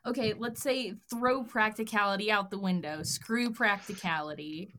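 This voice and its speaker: A woman's voice: mid-range voice, moderate pace, sharp tone. Teasing woman hosting a podcast. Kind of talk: casual podcast chat.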